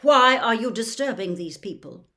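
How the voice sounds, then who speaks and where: Storybook role: old male headmaster read by a woman, stern, sharp, reproachful, slightly raised in pitch. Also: lively intonation, normal speed. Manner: firm, sharp questioning.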